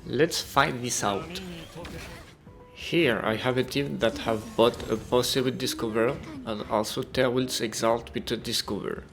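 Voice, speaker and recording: A man's voice: mid-range voice, fairly even intonation, unhurried, a bit bright. A man reading a scripted video game review as a voice-over. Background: music.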